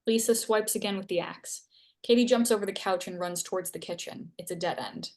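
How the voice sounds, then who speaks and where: A woman's voice: mid-range voice, a little fast. A woman narrating scripted action as the stage-direction reader at a live screenplay table read.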